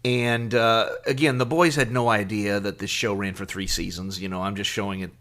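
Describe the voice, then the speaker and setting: A middle-aged man co-hosting a podcast, telling casual anecdotes in a halting, conversational way. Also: fairly low pitch, steady tone, fairly bright tone. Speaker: podcast co-host.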